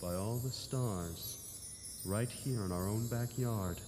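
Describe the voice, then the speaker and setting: A man voicing a gruff, cautious boss of desert miners, measured and commanding, in classic radio serial style. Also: fairly low pitch, darkish tone, faint music behind, voice pushed down. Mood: amazed. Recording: echoey cavern reverb, faint noise.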